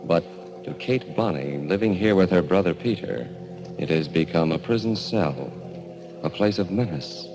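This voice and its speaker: A man narrating a 1940s radio horror show in an ominous, dramatic, deliberate manner. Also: low-pitched, expressive, noisy background.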